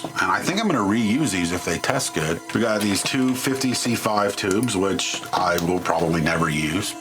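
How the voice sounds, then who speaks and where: A man narrating a hands-on electronics teardown in an informal, wry, self-deprecating and mildly crude way. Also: on the low side. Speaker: host of an electronics teardown channel. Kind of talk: casual hands-on tutorial narration.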